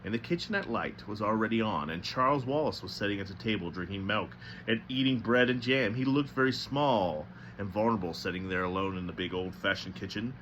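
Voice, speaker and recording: Bright-voiced man reading a novel aloud. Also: on the low side, fairly quick, hardly any pauses, highs cut off, a little hiss or hum. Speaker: storybook reader.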